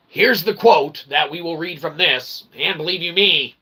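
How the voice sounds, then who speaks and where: A man hosting a philosophy monologue, speaking in wordy pseudo-academic phrases with slangy asides and a gravelly, creaky voice. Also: fairly high pitch, pushed and bright. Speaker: host of an independent philosophy show.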